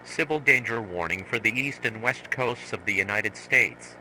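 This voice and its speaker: A man's voice: lowish voice, moderate pace, heavy background noise. A man's synthetic text-to-speech voice delivering a scripted emergency broadcast in a formal, authoritative manner. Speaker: emergency alert announcer.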